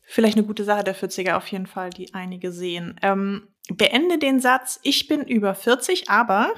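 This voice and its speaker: Female co-host, 40s, friendly interviewer, mid pitch, conversational. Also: normal speed, fairly bright tone. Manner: friendly, encouraging questioner. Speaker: podcast co-host. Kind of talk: casual podcast interview.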